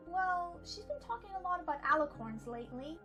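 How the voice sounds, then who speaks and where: Woman doing an eager little pony voice, super high, bouncy. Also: sing-song, fairly quick, a bit bright, faint music behind, full character voice. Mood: earnest.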